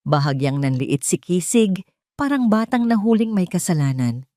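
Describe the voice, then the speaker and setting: A woman narrating a scripted audio drama in a measured, dramatic storytelling manner. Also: lowish voice, big pitch swings, mellow, dry recording, clean studio sound.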